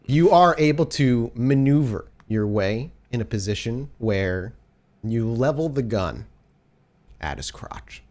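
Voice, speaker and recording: A man narrating a tabletop game as storyteller, setting scenes in a dramatic, deliberate way. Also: medium pitch, lively intonation, a little mellow. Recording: prominent music behind the voice.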